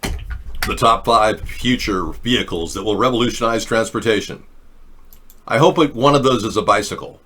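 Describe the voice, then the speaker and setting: A man's voice: lowish voice, moderate pace, a bit bright, slightly rough. A man hosting a political commentary livestream, talking in a sarcastic, ranting way. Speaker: host.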